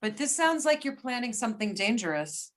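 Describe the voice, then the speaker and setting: Lady acting as a feisty agent, blunt and challenging, kinda high voice. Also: very animated, a little fast, fairly bright tone. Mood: defiant. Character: feisty female agent and crack shot. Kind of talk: readers theater acting.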